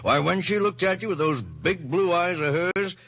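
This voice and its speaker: A man's voice: high-pitched, animated, moderate pace, fairly bright tone, lo-fi sound. A man playing a plain-spoken, cynical sheriff with a folksy, wry manner in 1940s radio drama. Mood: wry, puzzled. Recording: faintly humming old radio recording.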